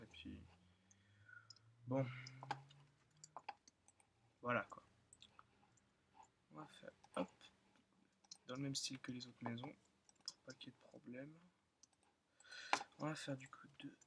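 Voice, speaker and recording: A young man who is still in school, slightly hoarse, rambling and thinking aloud with many fillers as he comments on his own gameplay. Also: mid-range voice, slight background noise. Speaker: gaming channel host. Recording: loud keyboard and mouse clicking.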